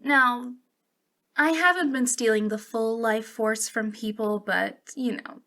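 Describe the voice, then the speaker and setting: A woman's voice: fairly high pitch, slow pace, a bit bright. A woman playing a centuries-old, youthful-looking witch in an ASMR roleplay, confiding coyly and hesitantly with a giggly, sinister playfulness. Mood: sly, enticing. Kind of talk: ASMR roleplay monologue addressed to the listener.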